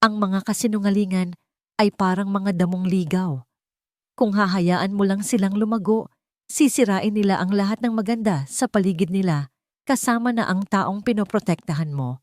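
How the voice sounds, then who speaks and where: Woman voicing a calm, wise older Filipino mother. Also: mid-range voice, no echo, studio quality, lightly acted. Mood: gently advising. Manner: calm, unhurried, advising. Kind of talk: audio storybook dialogue.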